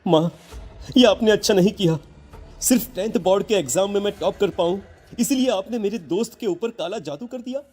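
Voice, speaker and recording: A man's voice: high-pitched, a little fast, darkish tone. A man voicing a distressed teenage schoolboy in a cartoon story, pleading and reproachful in a dramatic way. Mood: hurt, reproachful. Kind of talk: Hindi cartoon story acting.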